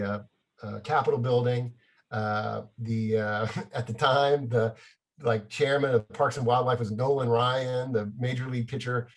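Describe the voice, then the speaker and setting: Middle-aged man telling stories, self-deprecating. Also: medium pitch. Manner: animated, self-deprecating storytelling with chuckles. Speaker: playwright. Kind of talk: casual spoken anecdote.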